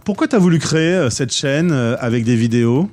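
A man from France interviewing a guest, asking brisk, teasing questions. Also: mid-range voice, lively intonation, darkish tone. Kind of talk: radio interview.

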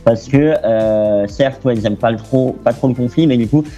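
A young man from France, a guest talking casually in an interview, relaxed and chatty with lots of fillers and restarts. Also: medium pitch, moderate pace, faint music behind.

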